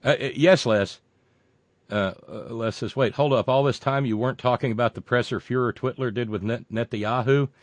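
A man hosting a show, reading viewer comments and delivering sarcastic political commentary that swings from loud rants to muttered asides, with frequent fillers and profanity. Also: medium pitch, normal speed, no echo. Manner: mocking, builds into loud rants then drops to muttering; profane, many fillers and restarts.

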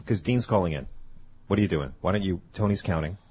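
A man filling in as host on a talk radio show, cracking jokes in fast banter with guests and callers. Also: sits low, lively intonation, breathy edge. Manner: joking, quick banter. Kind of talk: casual comedic radio chat. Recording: lo-fi radio broadcast.

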